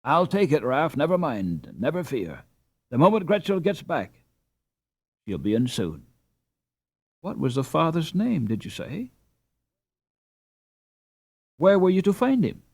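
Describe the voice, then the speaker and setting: A man acts out an anxious, plain-spoken Dutch housewife from a storybook. The voice is pitched up and very lively in its swings, fussing and soothing in folksy old phrases. The sound is close and dry. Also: unhurried, creaky, long pauses, no echo, heavily acted. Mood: uneasy, coaxing. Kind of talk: audiobook character dialogue.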